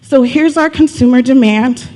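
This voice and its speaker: A woman's voice: high-pitched, normal speed, dark tone, low-quality recording. A woman giving a live persuasive presentation to investors as a city presenter, emphatic, repeating key figures and posing rhetorical questions. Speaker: city economic development presenter.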